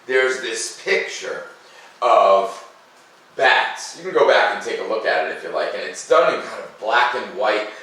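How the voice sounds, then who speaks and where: A man giving an expressive, dramatic read-aloud in the manner of a children's storyteller. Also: lowish voice, measured pace, breathy edge, poor audio. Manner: animated, dramatic delivery.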